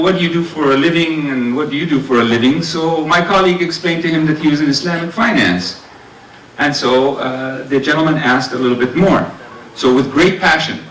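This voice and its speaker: A middle-aged man with a booming voice giving an earnest speech at a community dinner, telling stories with many fillers. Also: bright, forceful tone. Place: large banquet hall. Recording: roomy hall sound, distant mic.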